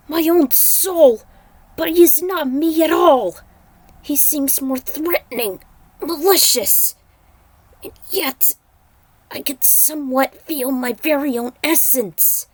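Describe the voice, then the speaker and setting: Woman doing a weakened thunder god, grave n anguished, formal slow solemn lines, voice pushed up higher, fantasy audiobook style. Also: no room sound, low-quality recording.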